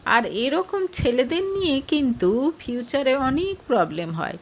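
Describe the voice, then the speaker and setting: Wary, high-voiced girl friend, done by a narrator woman. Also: sing-song, unhurried, dull audio, slight background noise, full character voice. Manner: cautioning, informal. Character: frank, suspicious young female best friend giving warning.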